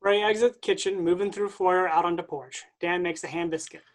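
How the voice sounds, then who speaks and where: A reader speaking stage directions for a play reading in a flat, matter-of-fact way. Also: medium pitch, a little fast.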